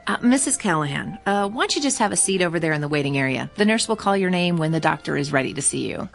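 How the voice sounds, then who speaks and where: A woman's voice: low voice, animated, fast, creaky, faint music behind. An actress playing a courteous, discreet female clinic receptionist in a radio drama, with a polite professional manner.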